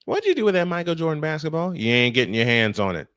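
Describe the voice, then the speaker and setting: A man's voice: on the high side, sing-song, fast, fairly bright tone, clear, resonant tone, no room sound. An American man doing baseball play-by-play mixed with casual chat, telling things in a folksy, repetitive way.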